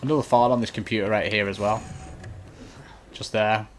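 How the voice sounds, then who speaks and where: Low-voiced man doing casual game walkthrough, noisy recording. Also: fairly even intonation, a little fast. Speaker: gaming video host giving a walkthrough. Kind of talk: casual instructional gameplay commentary. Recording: clearly noisy home recording.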